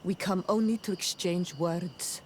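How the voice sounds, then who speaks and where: A woman's voice: on the low side, a little slow, a little mellow, slight background noise, lightly acted. A woman playing a determined, persuasive clan companion in a fantasy video game. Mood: firm, reassuring.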